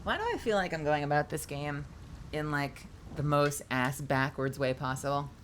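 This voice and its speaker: A woman's voice: low-pitched, fairly even intonation, moderate pace, slight background noise. A woman streaming a game live, chatting casually with her audience while congested and nasal. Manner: chatty, scattered, talks to chat. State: stuffy, congested.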